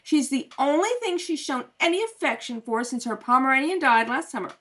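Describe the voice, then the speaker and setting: A woman's voice: sits high, expressive, fairly quick, a bit bright. A woman acting a scatterbrained, doting society mother in a radio comedy, with a fluttery, affected manner. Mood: scolding, flustered.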